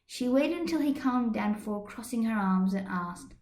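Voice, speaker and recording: A woman narrates a fan fiction story with expression. Her voice sits fairly high. The computer microphone picks up a strong room echo. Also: expressive, moderate pace, a little mellow. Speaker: amateur podfic reader.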